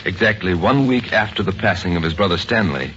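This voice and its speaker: A man narrating a radio mystery in a grave, deliberate way. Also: low voice, expressive, a bit bright, slight background noise. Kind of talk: scripted dramatic narration. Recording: dull old radio recording with music.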